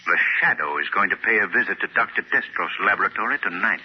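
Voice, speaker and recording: An actor playing a suave, thoughtful gentleman detective, measured and courteous, in 1940s radio style. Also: lowish voice, animated, close to an everyday voice. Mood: thoughtful, resolved. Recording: muffled, hissy 1940 radio broadcast.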